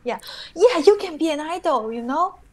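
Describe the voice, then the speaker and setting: A young woman from China, friendly and encouraging, speaking halting English over a video call from a small bright room. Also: high-pitched, lively intonation. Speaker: stranger on a video chat. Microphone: earphone mic on a video call.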